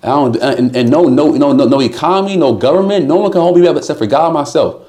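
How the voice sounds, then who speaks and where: A man's voice: fairly high pitch, expressive, fast talker, dark tone. A man talking as a podcast guest, emphatic and motivational, often repeating words as he drives his point home. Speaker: guest, insurance business owner. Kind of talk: casual podcast conversation.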